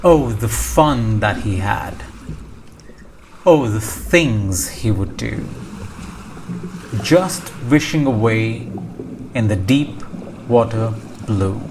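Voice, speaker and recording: Very slow-paced man reading a storybook. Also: lowish voice, lively intonation. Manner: measured, pausing between short phrases. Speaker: storybook reader. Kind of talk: slow scripted children's read-aloud narration. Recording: clear background noise, ambience. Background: ocean ambience sound effects.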